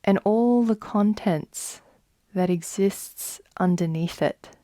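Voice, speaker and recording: Slow, mellow woman guiding meditation. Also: medium pitch, no echo. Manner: soft, soothing, unhurried with long pauses. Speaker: yoga nidra guide. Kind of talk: guided meditation.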